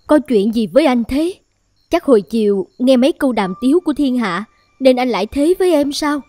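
An actress playing a wronged, strong wife in a cartoon fairy tale, speaking in a plaintive, emotional way. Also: female voice, sits high, lively intonation, fairly quick, mellow. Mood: concerned. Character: wronged, pleading strong wife with fish scales, later haunting ghost. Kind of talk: Vietnamese cartoon voice acting.

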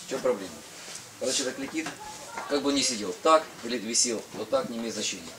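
A man's voice: medium pitch, lively intonation, fast. A middle-aged man giving an explanatory safety talk as an instructor, emphatic and repeating his key points. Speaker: parachute instructor. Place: indoor equipment hangar with small audience. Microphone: camera some metres away. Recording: distant mic, background group noise.

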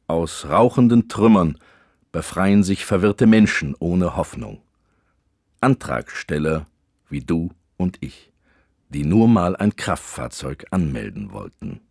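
An actor playing a grave documentary narrator in a radio comedy, solemn, ominous and deadpan with weighty pauses. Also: male voice, deep voice, breathy edge, no room sound, studio quality, full character voice. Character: grave male documentary narrator chronicling absurd small-town disasters. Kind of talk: scripted mock-documentary narration.

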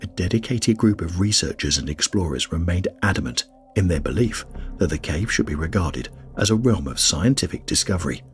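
A man reading a scripted documentary narration in a grave, measured way. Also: low-pitched, lively intonation. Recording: faint music bed under voice-over.

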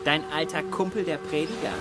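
An actor playing a cool, triumphant armed survivor in a radio drama, dry and taunting. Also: male voice, fairly high pitch, brisk, over music, lightly acted. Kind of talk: German radio drama acting.

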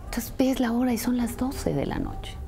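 A middle-aged woman with a Mexican accent speaking reflectively in an interview, deliberate and expressive, pausing for emphasis. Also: on the high side, creaky, noisy background. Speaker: radio presenter. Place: furnished indoor sitting room. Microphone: lapel mic.

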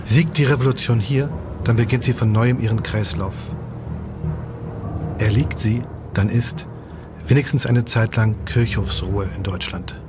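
Male actor as an impassioned revolutionary letter-writer, mid-pitched, solemn and lyrical, documentary drama with prominent music underneath. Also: measured pace, pauses often, close to an everyday voice. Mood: grave, resolute. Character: hopeful, impassioned male revolutionary writing home to his wife. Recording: loud music bed.

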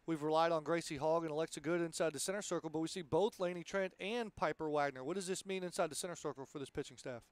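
Fast, high-pitched male sports interviewer. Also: clean tone, dry recording.

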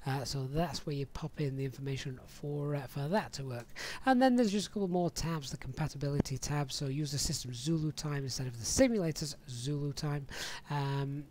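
Man giving a casual software walkthrough. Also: fairly high pitch, a little fast, slight background noise. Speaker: flight simulator streamer giving a tutorial. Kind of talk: casual software tutorial voice-over.

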